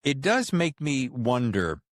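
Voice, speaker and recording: A man's voice: medium pitch, sing-song, slow pace, no room sound, studio quality. A man hosting a podcast interview in a casual, playful and informal way. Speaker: podcast host.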